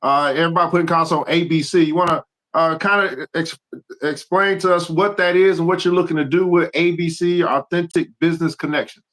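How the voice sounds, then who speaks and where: A man's voice: fairly high pitch, steady tone, a little fast, a bit bright. A man hosting an interview show, talking in a loose, rambling way and calling his guest "man" a lot. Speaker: show host.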